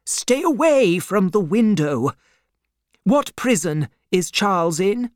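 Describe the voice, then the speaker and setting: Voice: mid-range voice, very animated, slow pace, no room sound, clean studio sound, pitched above the performer's own voice. A narrator playing an anxious elderly English banker with an urgent, dramatic manner. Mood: alarmed.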